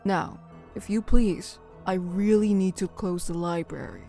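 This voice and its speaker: Woman as a stern, mellow-voiced librarian. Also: on the low side, faint music behind. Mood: firm, cautioning. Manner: measured, cautionary. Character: stern, composed academy librarian. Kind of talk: Minecraft roleplay voice acting.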